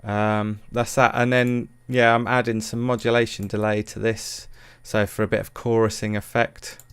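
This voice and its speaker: A man with a fairly low, clean and resonant voice, recorded dry and close. He talks through a software tutorial casually, rambling and hesitating as he searches for words. His manner is informal and self-deprecating. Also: flat delivery, normal speed, clean tone, no echo. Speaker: music production tutorial presenter.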